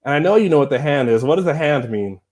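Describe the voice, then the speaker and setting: A man telling a lively, self-mocking story on a video call, acting out scenes, speaking through an earphone mic in a small room. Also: on the high side, quick pace. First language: not Chinese. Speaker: Chinese teacher, guest.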